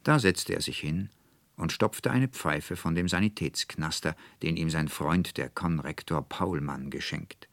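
Deep guy reading a classic story, measured n expressive, super dry studio recording. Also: no echo. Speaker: narrator. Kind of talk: literary audiobook narration.

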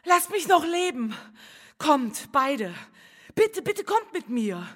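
A woman's voice: high-pitched, big pitch swings, takes it slowly, heavily acted. An actress playing a desperate, seductive woman who pleads theatrically in a German radio drama. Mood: pleading, seductive.

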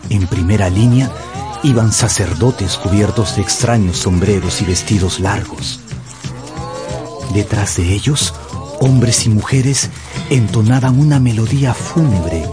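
A man narrating a radio drama in Spanish with deliberate, suspenseful storytelling. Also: on the low side. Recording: music behind the voice.

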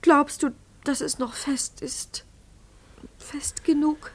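High-voiced, anxious wife. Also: female voice, fairly even intonation, slow, fairly bright tone, in a whisper, heavily acted. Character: Anita, anxious, soft-hearted wife and mother of six. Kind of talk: German radio play dialogue.

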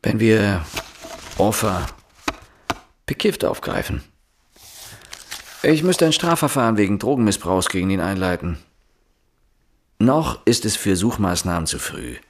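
A weary police inspector in a radio drama, deadpan and dismissive. His low, gravelly voice swings widely between brusque questions and brooding inner monologue. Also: a little slow, long pauses, exaggerated voice. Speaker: actor playing the police inspector and narrator.

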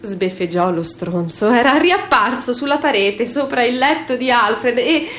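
High-pitched woman narrating a story. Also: expressive, a little slow, a bit bright, a little hiss or hum. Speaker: voice actor, narrator. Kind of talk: theatrical literary story reading.

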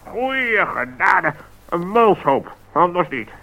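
A flustered, secretive bird for a children's radio play. The voice is bright, sharp and fairly high. He frets and corrects himself in exasperation. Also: very animated, slow pace, heavily acted, rough recording. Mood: fussy, flustered. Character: fussy, flustered, secretive male talking bird.

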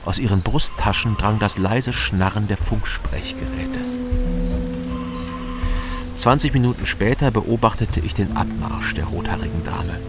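Sober east german detective guy, low voice, terse and measured, radio crime play, music clearly behind. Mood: detached, observant. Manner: terse, measured, matter-of-fact. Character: sober, watchful male East German investigator, narrator. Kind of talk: radio crime drama, dry first-person narration and dialogue.

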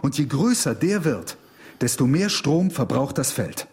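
An actor playing a thoughtful, concerned scientist in a radio drama, earnest and precise in speech. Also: male voice, mid-range voice, sing-song, measured pace, a little mellow. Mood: puzzled, concerned.

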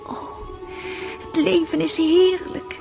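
An actress playing a dreamy, vain and impulsive teenage daughter of an aristocratic family in a radio drama. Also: female voice, extremely high pitch, level tone, takes it slowly, creaky, highs cut off, over music, full character voice. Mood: hushed, dreamy. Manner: girlish, whimsical, impulsive.

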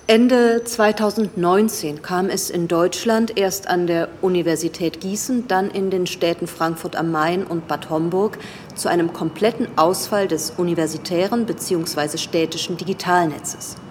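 A woman playing a composed professor who gives a formal, measured, didactic briefing in a radio drama. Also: fairly low pitch, slight background noise, close to an everyday voice. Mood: serious, explanatory. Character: composed female professor briefing government ministers.

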